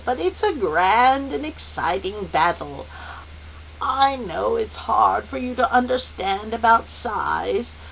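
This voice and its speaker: A woman voicing a kindly, wise old celestial lady in a storybook, gentle and comforting with a lilting manner. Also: a little high, creaky, pitched above the performer's own voice, full character voice, low-quality recording. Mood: reassuring. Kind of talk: audiobook character voice. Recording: faint hiss.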